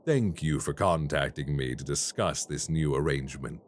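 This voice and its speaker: A man voicing a cold, calculating crime boss in an audio roleplay, speaking in a slow, measured and formally menacing way. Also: rumbling low, a little hiss or hum. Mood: satisfied.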